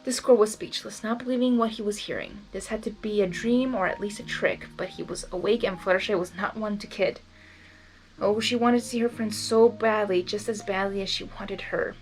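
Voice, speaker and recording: A woman's voice: mid-range voice, steady tone, normal speed, a little mellow, soft background music, low-quality recording. A woman narrating fan fiction expressively as an amateur reader, breaking into informal, emotionally involved reactions and stumbling over words now and then.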